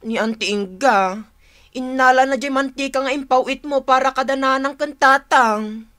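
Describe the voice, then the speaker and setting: Fed up younger sibling in ilocano radio drama, ilocano accent, bright tense voice. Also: female voice, sits high, moderate pace. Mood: distressed. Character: younger sibling, exasperated housekeeper of a rural household.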